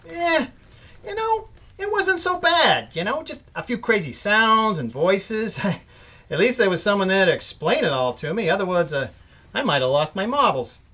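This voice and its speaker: A man's voice: sits high, big pitch swings, moderate pace, pushed and bright, full character voice. A man playing a naive, eager young studio worker, boyish and earnest with a stammer, in old-time radio drama style. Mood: chatty.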